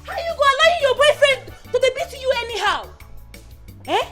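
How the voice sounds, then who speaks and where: A woman playing a quick-tempered madam, scolding in Nigerian Pidgin with a Nigerian accent and laughing asides. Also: very high voice, sing-song, fairly bright tone, faint music behind, exaggerated voice. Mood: outraged. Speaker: employer scolding her house help. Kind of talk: comedy skit acting.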